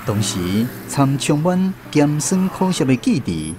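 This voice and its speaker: A man's voice: on the high side, big pitch swings, unhurried, music in the background. A man with a Taiwanese accent narrating a scripted literary radio drama as a storyteller.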